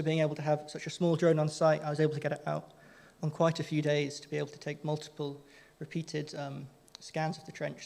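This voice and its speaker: A young man giving a conference presentation, steady but slightly halting with fillers. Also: on the high side, creaky. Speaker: student presenter. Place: lecture hall.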